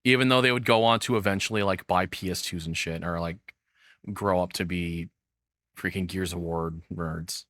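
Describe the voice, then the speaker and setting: A man's voice: low-pitched, steady tone, moderate pace, fairly bright tone, dry recording, clean studio sound. A man in his thirties giving casual, rambling reaction commentary as the host, swearing freely. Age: 30s.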